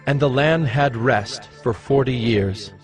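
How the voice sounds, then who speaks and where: A male narrator reads scripture in a medium-pitched voice. The delivery is scripted narration, with prominent music running beneath it. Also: slow, rough recording. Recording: loud music under the voice.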